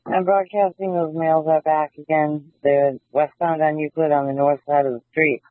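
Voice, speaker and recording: A man's voice: a little high, steady tone, moderate pace, no echo. A man working as a police radio dispatcher, speaking in clipped procedural phrases and repeating key details over a radio. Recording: tinny, band-limited police scanner feed.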